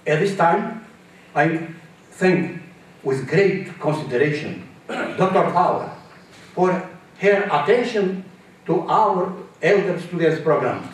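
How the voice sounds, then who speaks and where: Halting man, very slow. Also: fairly high pitch, animated, very echoey, far from the mic, rough recording. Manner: halting, non-native phrasing. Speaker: elder student giving a speech. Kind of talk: prepared speech read aloud. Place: university lounge.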